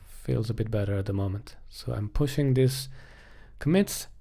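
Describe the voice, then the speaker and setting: A man's voice: on the low side, normal speed, creaky, warm tone, slightly rough. A man giving casual live-coding commentary, thinking aloud in a hesitant way as he works.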